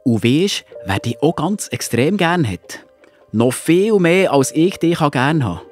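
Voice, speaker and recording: A man playing a loving, patient father in a children's audio drama, gently reassuring, with a Swiss German accent. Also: on the high side, sing-song, slow, soft background music.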